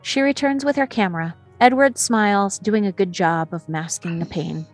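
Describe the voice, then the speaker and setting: A woman's voice: mid-range voice, moderate pace, soft background music. A woman reading stage directions as the narrator of a screenplay.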